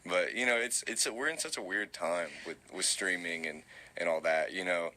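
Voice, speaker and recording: A young man, answering interview questions in a relaxed, rambling way with many fillers. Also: moderate pace. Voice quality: low voice. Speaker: musician guest. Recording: tinny phone-like recording.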